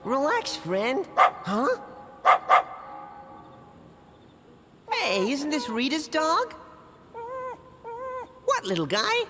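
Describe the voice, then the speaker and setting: A woman voicing a tiny, feisty male sprite in a video game, brash and excitable. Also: high voice, takes it slowly, big-room sound, background noise, exaggerated voice. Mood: reassuring, curious. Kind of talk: cartoonish video game voice acting. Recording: loud music under the voice.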